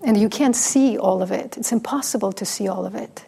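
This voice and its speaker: Female dharma teacher, soft and pausing, a bit of a creaky edge. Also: medium pitch. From Mexico. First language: Spanish. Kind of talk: dharma talk with storytelling.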